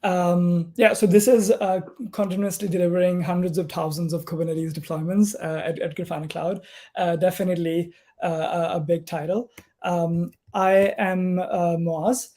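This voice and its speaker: Male tech speaker, mellow tone, hesitant delivery with frequent fillers, on a video call. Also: high-pitched, level tone, moderate pace. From Pakistan. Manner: hesitant, many ums and uhs. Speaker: conference speaker, software engineer. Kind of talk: technical conference talk.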